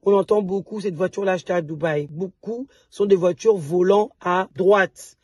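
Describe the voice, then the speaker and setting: A woman from DR Congo, an entrepreneur chatting casually to her viewers in a vlog. She is slightly hoarse and often restarts and repeats words. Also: fairly low pitch, normal speed, darkish tone, dry recording, clean studio sound. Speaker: entrepreneur and vlogger.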